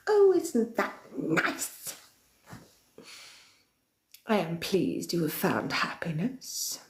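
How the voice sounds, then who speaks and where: A woman playing a centuries-old villainous queen of darkness, chatting with viewers in a slow, theatrical, often whispered delivery. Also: fairly low pitch, wildly swooping pitch, heavily acted. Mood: sinister, theatrical. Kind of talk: in-character chat with viewers. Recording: roomy echo.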